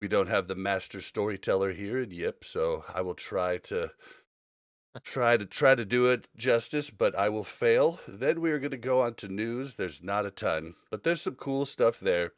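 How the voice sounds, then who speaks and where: A man's voice: on the low side, normal speed, dry recording. A man hosting a casual gaming podcast, speaking conversationally into a close mic. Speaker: podcast host.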